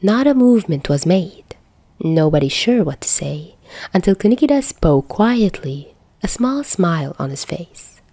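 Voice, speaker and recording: A woman's voice: lowish voice, big pitch swings, measured pace, warm tone, no room sound. A woman reading scripted fan fiction as a story narrator. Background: faint music.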